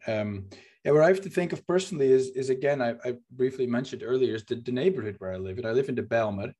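A man's voice: mid-range voice, fast talker, warm tone. Earnest Dutch-accented man hosting a podcast. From Netherlands. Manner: earnest, searching, frequent fillers and repeats. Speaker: podcast host. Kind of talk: reflective podcast discussion.

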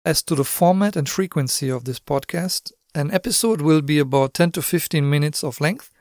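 A man's voice: fairly high pitch, moderate pace, a little mellow, no room sound, clean studio sound. A man from Germany with a German accent, hosting a business podcast in a friendly, explanatory way with asides to the listener. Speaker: podcast host. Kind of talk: scripted podcast monologue.

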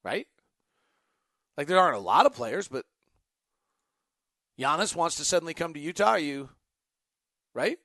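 A man with a fairly high voice hosts an unscripted sports talk show. He thinks aloud, pausing and restarting, and tosses out rhetorical questions. The sound is close and dry. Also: very animated, bright, forceful tone, no room sound. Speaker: host, sports radio announcer answering fan questions.

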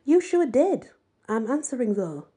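A woman voicing a bold, defiant teenage girl in an audiobook, blunt and breathy. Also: fairly high pitch, sing-song, unhurried, warm tone, pitched above the performer's own voice, heavily acted.

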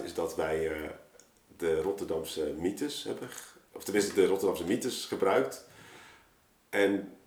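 Man, deep voice, casual podcast storytelling, rambling colloquial phrasing, echoey room. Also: gravelly. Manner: rambling, colloquial, thinking aloud. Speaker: podcast guest, audio drama maker. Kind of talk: casual chat, informal storytelling. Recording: roomy, echoey space.

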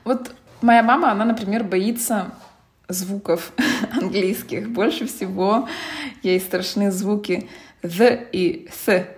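A Russian-accented woman co-hosts a casual podcast, talking things through at a relaxed pace. Her voice sits in an ordinary middle register. She muses and restarts now and then. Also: mid-range voice, slow. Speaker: podcast co-host.

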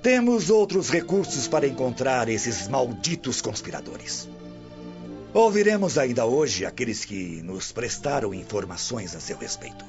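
Stern Brazilian Roman commander, swooping intonation. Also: male voice, mid-range voice, sing-song, unhurried. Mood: stern, accusing. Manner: accusing, formal, contemptuous. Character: stern Roman guard commander, menacing male interrogator. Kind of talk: radio soap acting in Portuguese. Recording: muffled radio drama with music underneath.

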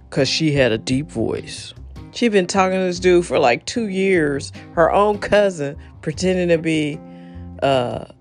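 Low-voiced chatty woman hosting a show. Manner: chatty, laughing, wandering asides. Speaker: podcast host. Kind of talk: unscripted solo talk.

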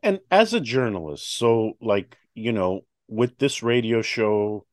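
A man's voice: fairly low pitch, big pitch swings, takes it slowly, dry recording, clean studio sound. A man hosting a public affairs talk show, chatty with many fillers and restarts. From United States. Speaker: host.

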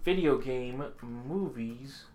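A man's voice: medium pitch, takes it slowly, dark tone, a little hiss or hum. A man co-hosting a casual podcast chat about movies and games, talking informally with slang and free swearing. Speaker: podcast co-host.

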